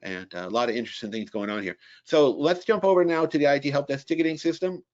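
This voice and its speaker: A man introducing a software webinar in a casual, rambling way, full of fillers and restarts. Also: on the high side, fast, highs cut off. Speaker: company presenter introducing a webinar.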